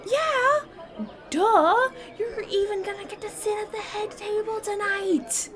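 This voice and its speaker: A woman playing an excitable, supportive young villager in a fantasy audio roleplay, chatty, teasing and informal. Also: high voice. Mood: excited, encouraging. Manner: gushing, informal, emphatic.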